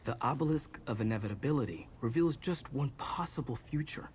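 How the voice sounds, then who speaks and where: An actor playing a resolute, level-headed ally in a fantasy video game, speaking firmly and explaining things. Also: male voice, mid-range voice, lively intonation, fairly quick, darkish tone, slight background noise, lightly acted. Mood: reassuring. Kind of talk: video game dramatic acting.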